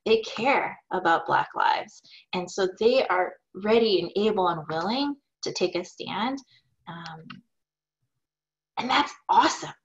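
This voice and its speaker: A woman's voice: mid-range voice, lively intonation, a little slow. A woman in her forties, a small business owner, answering interview questions over a video call from her home office in an upbeat, thoughtful way with some fillers. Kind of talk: conversational Q&A answers. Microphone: video call, webcam. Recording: dull lo-fi video call audio.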